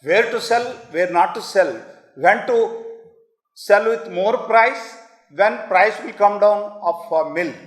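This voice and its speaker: Earnest Indian man giving an echoey talk. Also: high-pitched. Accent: Indian English. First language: Kannada. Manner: earnest, halting with many fillers, rising to emphatic lists. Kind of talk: public talk.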